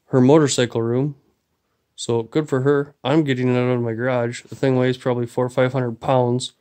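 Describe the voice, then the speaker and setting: A man's voice: medium pitch, darkish tone. A man with a nasal, stuffy voice from a cold, casually rambling through a tour of his cluttered garage workshop on a handheld phone. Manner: rambling, many fillers. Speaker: vlogger. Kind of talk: casual shop tour vlog. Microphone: handheld phone camera.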